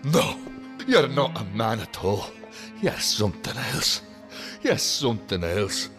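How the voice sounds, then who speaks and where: A man playing a desperate, defiant captive in an audio drama, distraught and blunt, with rough grammar. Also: lowish voice, big pitch swings, slow pace, over music, full character voice. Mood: horrified disbelief. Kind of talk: science fiction audio drama acting.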